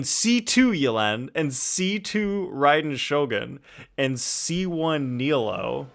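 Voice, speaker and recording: A man's voice: a little high, fairly bright tone. A man chatting casually on a game livestream, informally thinking aloud as he plays. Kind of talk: casual livestream gaming chat. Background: music.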